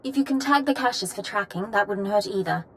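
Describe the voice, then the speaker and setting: An actress playing a brisk, composed young female military ensign with dry wit in a video game. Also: medium pitch, lively intonation, a bit bright, a little hiss or hum. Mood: businesslike.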